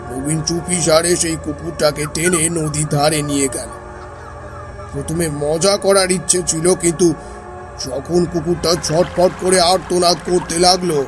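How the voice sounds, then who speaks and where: An actor playing a grave elder brother who recounts an ominous village tale with deliberate, dramatic pauses in a horror audio drama. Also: male voice, a little high, close to an everyday voice. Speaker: storyteller character.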